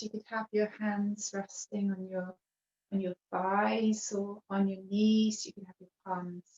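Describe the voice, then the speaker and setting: A woman teaching yoga, guiding a relaxation practice with gentle, unhurried phrasing and long pauses. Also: medium pitch, reverberant. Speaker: yoga teacher leading a guided relaxation class. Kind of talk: guided meditation instruction. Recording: dull, lo-fi video call sound.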